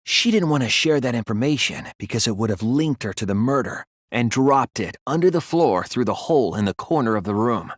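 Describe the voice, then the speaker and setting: A man voicing an earnest, analytical teenage boy detective in a video game, reasoning deliberately through scripted dialogue. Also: mid-range voice, lively intonation, no echo, clean studio sound, natural voice. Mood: serious, explaining.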